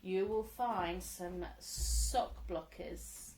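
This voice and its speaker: Slow-talking mum, a bit croaky. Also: female voice, fairly low pitch, a little mellow, a little hiss or hum, poor audio. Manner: chatty, rambling, laughs often. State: slightly croaky from a cold. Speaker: crochet vlogger, mother. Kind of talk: casual show-and-tell chat. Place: at home.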